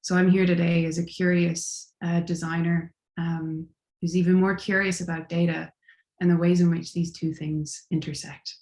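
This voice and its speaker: An Irish woman introduces herself on a panel, thoughtful and measured. Her voice sits low and fairly flat in pitch. It comes through with a noticeable room echo. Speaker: senior design strategist. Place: home or office near a city. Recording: roomy echo.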